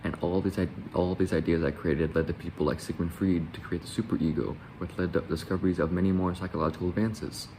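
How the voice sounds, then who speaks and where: Brief: a man voicing a vain philosopher, very deep voice, quick read. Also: steady tone, a little mellow, slight background noise, poor audio. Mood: proud. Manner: read-aloud, self-praising. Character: self-important male philosopher.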